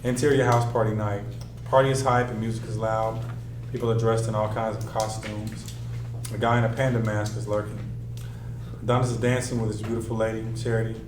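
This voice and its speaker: Even-toned low male narrator, echoey room. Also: fairly quick, noisy background. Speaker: narrator reading stage directions. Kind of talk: flat scripted screenplay narration. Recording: echoey room, distant mic, music behind.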